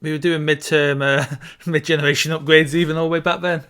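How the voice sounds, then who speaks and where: A man's voice: a little high, level tone, a little fast, fairly bright tone, clean tone. A man chatting casually as co-host of a discussion about films and games. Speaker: podcast co-host.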